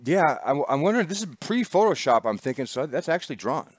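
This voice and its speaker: A man's voice: on the high side, big pitch swings, fast, no room sound, studio quality. A man hosting a casual chat show, rambling and laughing as he talks. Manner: chatty, rambling, laughs often. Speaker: host. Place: small studio.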